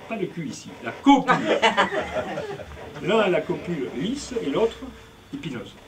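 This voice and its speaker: A man's voice: on the high side, big pitch swings, moderate pace, a crowd in the background. A man performing punning, rhythmic spoken-word poetry on stage, recorded live. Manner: playful punning, declamatory and rhythmic. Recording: distant live recording with music.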